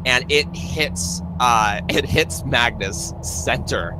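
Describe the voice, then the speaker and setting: A man narrating a tabletop roleplaying game as game master, in a casual, halting, improvised way. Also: medium pitch. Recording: clearly noisy live stream.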